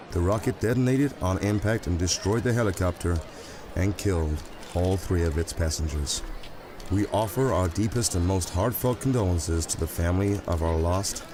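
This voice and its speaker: A man's voice: sits low, natural voice. An actor playing a solemn male TV anchor giving a scripted live report on location in an audio drama. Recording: clear outdoor city noise behind.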